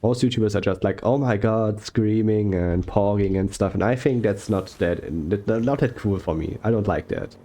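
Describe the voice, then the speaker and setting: A young man talking slowly and softly over gameplay, rambling with fillers and self-corrections. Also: lowish voice. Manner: slow, soft-spoken, unhurried. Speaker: gaming YouTuber. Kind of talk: relaxed gameplay commentary.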